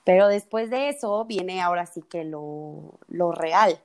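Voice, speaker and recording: Salvadoran psychologist woman in her 20s, lowish voice, friendly and explaining, says este a lot. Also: a little slow. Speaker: psychologist guest. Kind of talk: casual podcast conversation.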